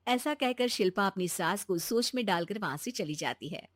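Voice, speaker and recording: A woman's voice: mid-range voice, expressive, moderate pace, dry recording, clean studio sound. A woman narrating a scripted moral bedtime story as a storyteller.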